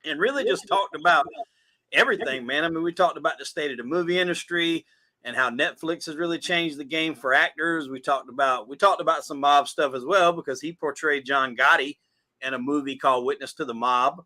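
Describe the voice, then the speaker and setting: A man's voice: on the high side, brisk, sharp tone. A man from South Carolina with a Southern drawl, a podcast host talking as a guest in a loose, profane, casual interview.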